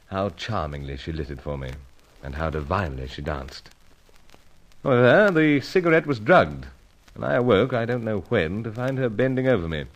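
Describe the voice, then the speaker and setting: An actor playing a suave, wry British secret agent in a radio serial, with urbane, old-fashioned gallant phrasing. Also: male voice, fairly low pitch, poor audio. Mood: wry storytelling. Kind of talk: radio serial acting with first-person narration.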